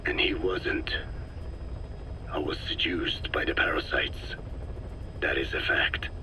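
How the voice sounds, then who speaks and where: A man playing a very old, solemn Navajo scientist in a video game, speaking slowly and formally with a breathy, whispery voice full of regret. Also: rumbling low, steady tone, rough recording. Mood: bitter, sorrowful. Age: older. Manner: slow, solemn, measured, formal. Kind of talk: dramatic game dialogue, reflective monologue.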